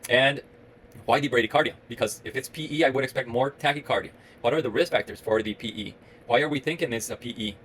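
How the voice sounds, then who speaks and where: A man in his thirties from Costa Rica with a Costa Rican Spanish accent, a medical resident giving an earnest, rambling talk to camera with many pauses and restarts. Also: medium pitch, a bit bright, a little hiss or hum, low-quality recording. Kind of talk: solo reflective talk to camera.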